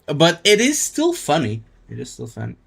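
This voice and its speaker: A man live streaming a game, chatting casually in a halting, rambling way as he thinks aloud. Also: on the high side, wildly swooping pitch, creaky, a bit bright, husky. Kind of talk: casual gaming stream chat.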